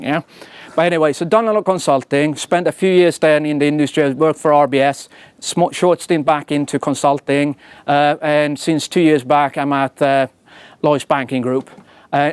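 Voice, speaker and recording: A man's voice: on the high side, no room sound. A Swedish man in his forties with a Swedish accent, giving a casual, self-deprecating conference talk full of fillers and tag questions. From Sweden. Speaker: engineering leader giving a talk.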